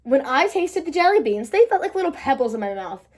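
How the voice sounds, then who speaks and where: A woman from the United States reading a children's storybook aloud in an expressive style. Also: sits high, brisk, slight background noise. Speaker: read-aloud host.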